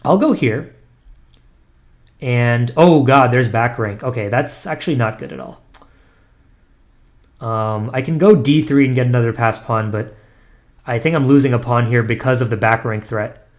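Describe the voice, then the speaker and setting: A man's voice: medium pitch, normal speed, long pauses. Man muttering his moves during blitz chess. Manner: self-deprecating, muttering analysis with frequent ums and restarts. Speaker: chess streamer. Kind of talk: thinking aloud while playing blitz chess online.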